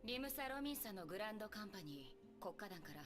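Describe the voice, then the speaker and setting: An actress voices an authoritative woman leader in a video game. She speaks very rapidly yet stays composed and grave, in a mid-pitched voice. Loud music sits underneath. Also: sing-song, natural voice. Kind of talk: Japanese video game voice acting, expository.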